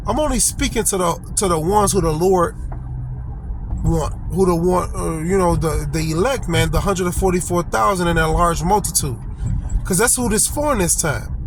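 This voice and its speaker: A middle-aged man preaching an informal, blunt sermon outdoors, exhorting listeners and tagging phrases with "man" and "okay.". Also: sits high. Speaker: street preacher. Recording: outdoor street noise.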